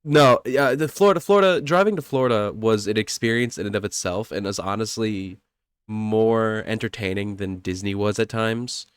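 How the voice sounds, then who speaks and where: Congested young man, low smooth voice. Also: normal speed, dry recording, clean studio sound. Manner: rambling, self-correcting, laughs often. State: congested, stuffy nose. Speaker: podcast co-host. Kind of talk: casual podcast chat.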